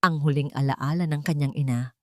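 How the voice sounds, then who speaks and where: A woman reading a dramatic scripted story as narrator, with measured, suspenseful delivery. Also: low voice, steady tone, dark tone, no room sound, studio quality.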